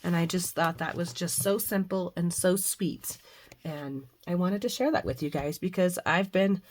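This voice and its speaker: Hoarse middle-aged woman host, lower voice, rambling casual chat with fillers. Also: normal speed. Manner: chatty, rambling with frequent fillers. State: hoarse, recovering from flu with a cough. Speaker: craft channel host. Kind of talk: casual devotional and crafting chat. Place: home craft room.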